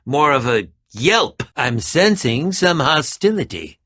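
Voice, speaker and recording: A man playing an eccentric, middle-aged adventurer in an animated video game, theatrical and fussy, with a dry, pompous turn of phrase. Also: on the high side, slow, exaggerated voice. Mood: earnest, fussy.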